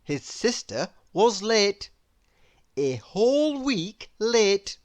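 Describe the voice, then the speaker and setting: Woman reading a children's story, lively pitch. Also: dry recording. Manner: deliberate, theatrical, with playful exclamations. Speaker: read-aloud storyteller. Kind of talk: expressive children's picture book narration.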